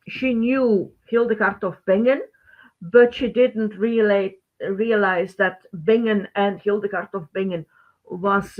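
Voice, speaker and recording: Slow-speaking Belgian Dutch woman on thin audio. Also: medium pitch. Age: middle-aged. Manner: halting, non-native English phrasing. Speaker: webinar host, teacher. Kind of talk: informal webinar presentation. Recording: thin phone-like band-limited webinar audio.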